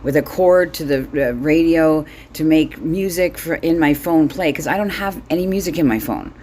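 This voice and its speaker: Middle-aged woman, deep register, blunt casual comic monologue, some background noise. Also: normal speed, a little mellow. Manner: blunt, sweary, wry. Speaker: solo host.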